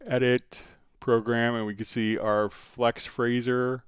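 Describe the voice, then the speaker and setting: A man hosting a casual live software tutorial, thinking aloud tentatively as he demonstrates and answers viewer questions. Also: medium pitch, little pitch movement, slow, clean tone, dry recording, clean studio sound. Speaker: livestream host and software instructor.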